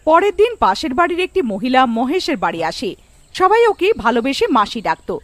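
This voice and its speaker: A woman narrates a scripted horror cartoon. Her voice is high, with animated, storybook-style rises and falls. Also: moderate pace, no room sound.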